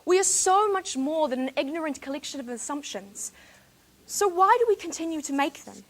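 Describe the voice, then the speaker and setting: A woman's voice: sits high, expressive, normal speed, fairly bright tone. A teenage woman from New Zealand with a New Zealand accent, giving a formal competition speech with rhetorical, dramatic emphasis. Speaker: speech contestant.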